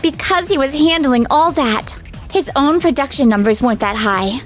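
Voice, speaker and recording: A woman's voice: sits high, moderate pace, faint music behind, natural voice. A woman playing a firm, loyal young office colleague in a manga dub, explaining things plainly. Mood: firm, reproachful. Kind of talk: scripted manga dub acting.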